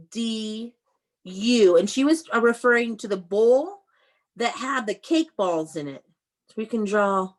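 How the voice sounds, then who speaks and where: Female primary teacher, mid-range voice, encouraging, leading an interactive class for young children. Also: medium pitch. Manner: encouraging, praising, instructing step by step. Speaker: elementary school teacher.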